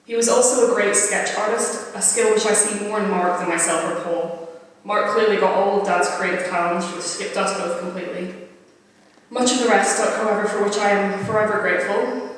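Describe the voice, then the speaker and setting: A woman's voice: mid-range voice, fairly even intonation, brisk. Woman reading in a very echoey chapel. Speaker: eulogy reader. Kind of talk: reading a prepared eulogy aloud. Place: crematorium chapel. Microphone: distant camera at the back of the room. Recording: very echoey hall, distant mic.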